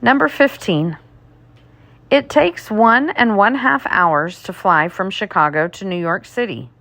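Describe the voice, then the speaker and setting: A woman teacher reading math test questions aloud, deliberately, item by item. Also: mid-range voice, a bit bright, no room sound.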